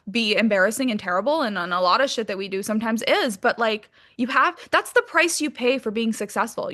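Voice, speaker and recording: A woman's voice: a little high, a bit bright. A woman chatting casually as an interview guest, candid and informal, swearing freely and using lots of filler likes. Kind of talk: casual chat.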